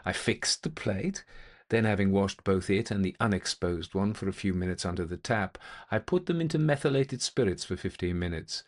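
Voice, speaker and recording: A man playing a gentleman investigator who recounts an eerie experience in a fairly low voice. He is methodical and intent, telling his ghost story in measured, formal sentences with deliberate, old-fashioned phrasing. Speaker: narrator.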